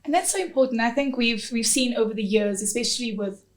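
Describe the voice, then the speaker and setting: A woman's voice: on the high side, quick pace. A woman hosting an interview, enthusiastic and affirming as she asks her questions. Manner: enthusiastic, affirming, laughs often. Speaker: interview host. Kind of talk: interview chat.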